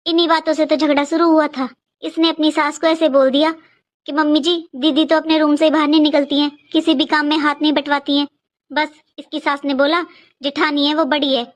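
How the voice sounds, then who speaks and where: A woman's voice: sits high, level tone, brisk, lightly acted. A woman voicing a worried, complaining elderly Indian mother in a cartoon family skit, plaintive and explanatory.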